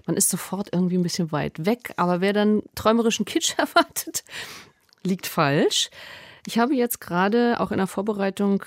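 Brief: female radio host, medium pitch, dry close mic, calm and probing. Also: no room sound. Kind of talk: radio interview.

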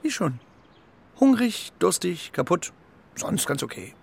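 An actor playing a scrappy, boastful young homing pigeon in a children's radio play, talking in clipped, offhand phrases. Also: male voice, high-pitched, big pitch swings, measured pace, vocal fry, slightly rough, full character voice. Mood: weary, offhand. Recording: guitar music behind the voice.

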